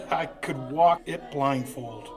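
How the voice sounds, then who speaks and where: Man acting a halting, slow-spoken old miser. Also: mid-range voice, a crowd in the background, natural voice. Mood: amazed, nostalgic. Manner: stammering, halting, exclaiming. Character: anxious old miser man, fearful, wistful, remorseful. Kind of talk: 1940s-style radio drama acting.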